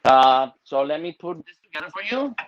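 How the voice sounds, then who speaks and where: A man's voice: on the high side, big pitch swings, fairly quick, lo-fi sound, heavy background noise. A man raised in Puerto Rico, cooking in his home kitchen during a live video-call stream, chatting with many fillers and laughing often. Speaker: cooking show guest chef.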